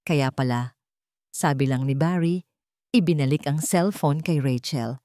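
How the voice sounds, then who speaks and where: A woman with a Filipino accent narrating a scripted drama story in a measured, suspenseful way. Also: sits low, dark tone, no room sound, clean studio sound.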